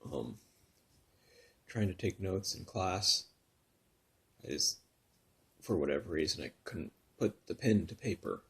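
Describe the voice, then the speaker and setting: A man in his thirties from Northern California who tells his own story in a soft, halting way, full of pauses and restarts, sometimes close to a whisper. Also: deep voice, lively intonation, gravelly, breathy edge. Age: 30s. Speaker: vlogger telling his story. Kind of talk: personal confessional vlog.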